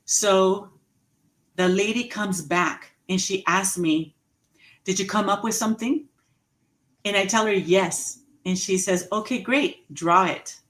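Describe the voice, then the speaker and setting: A woman in her forties giving an inspirational talk, slow and emphatic, repeating key phrases and laughing at her own jokes. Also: medium pitch, a bit bright. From Mexican heritage, raised in the US. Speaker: motivational speaker. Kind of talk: inspirational speech.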